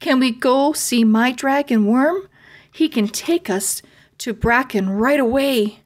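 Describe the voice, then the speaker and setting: A brave young boy from a children's fantasy storybook, as a woman reads him. The voice is high and earnest, with bold, direct delivery. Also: takes it slowly.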